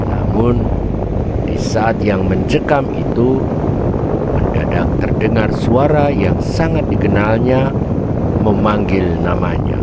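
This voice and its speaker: A man narrating a children's fable in a dramatic, deliberate storytelling manner. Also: on the low side, heavy background noise.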